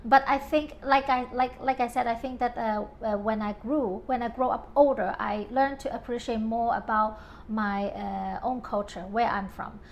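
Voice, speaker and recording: A woman's voice: on the high side, steady tone, moderate pace, hardly any pauses. A woman from Hong Kong talking casually in an interview about her home and design, with many fillers and frequent laughs.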